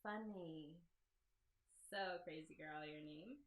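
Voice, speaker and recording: Woman as smirking slacker teen boy in a visual novel, lowered pitch, drawling, roomy sound. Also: slow. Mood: teasing, laid-back. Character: laid-back teasing teenage boy, stoner type.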